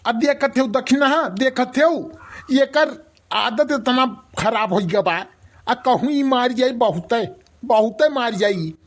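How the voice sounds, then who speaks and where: A woman's voice: on the high side, slow. A woman with an Awadhi accent playing a mocking, admonishing older village uncle in a comic cartoon. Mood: complaining.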